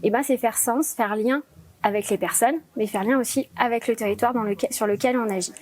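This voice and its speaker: High-voiced French woman explaining, step by step. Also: a little fast, a little mellow. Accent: French (France). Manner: didactic, builds points step by step. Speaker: project lead interviewed as guest. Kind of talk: explanatory interview answers.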